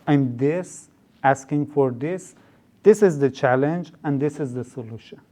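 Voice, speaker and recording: A man's voice: mid-range voice, unhurried, dark tone. A young man with a Persian accent, a podcast guest who explains things in a conversational way, with many fillers and restarts. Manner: hesitant, many fillers, rephrases mid-sentence. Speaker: guest, startup founder. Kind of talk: conversational interview.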